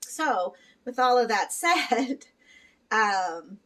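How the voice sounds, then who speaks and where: A woman's voice: a little high, expressive, very slow, a bit bright, recorded from a distance. A middle-aged woman from Peru talking casually to her viewers about living with kidney disease, earnest and encouraging, with lots of fillers. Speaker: vlogger sharing life with kidney disease. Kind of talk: casual chat to camera.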